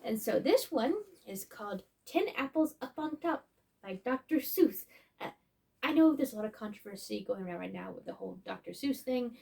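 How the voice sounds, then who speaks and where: A chatty robot storyteller, acted by a woman with a high voice that swoops up and down. The delivery is playful and sing-song, aimed at small children, with silly asides between lines of the story. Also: gravelly, darkish tone, breathy edge, heavily acted. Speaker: story-time host. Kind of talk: children's read-along with asides.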